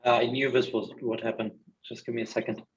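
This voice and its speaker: A man's voice: medium pitch, level tone, fast. A man casually hosting an online meetup over a video call. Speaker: meetup organiser and host. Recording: roomy, distant, dull call audio.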